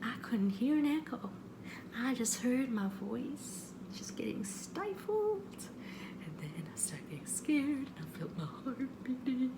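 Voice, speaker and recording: A woman's voice: high-pitched, big pitch swings, a little slow. A woman telling a story in a casual, chatty vlog style, animatedly acting out what people said. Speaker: vlogger. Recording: noticeable background noise.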